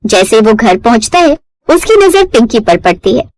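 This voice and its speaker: A woman's voice: on the high side, big pitch swings, moderate pace, dry recording. A woman narrating a scripted moral story in a dramatic storytelling style.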